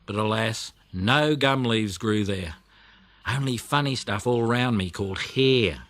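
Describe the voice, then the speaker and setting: A man's voice: lowish voice, unhurried, fairly bright tone. A man voicing a chatty, motherly female koala who tells of her plight in a dramatic, plaintive way for a children's audiobook. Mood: indignant, rueful. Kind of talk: children's audiobook character reading.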